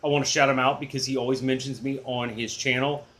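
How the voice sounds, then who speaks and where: Middle-aged male music vlogger, booming low baritone, chatty, self-mocking. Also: level tone, quick pace, a bit bright. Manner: rambling, enthusiastic, self-deprecating. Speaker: music channel host. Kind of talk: casual chatty video blog.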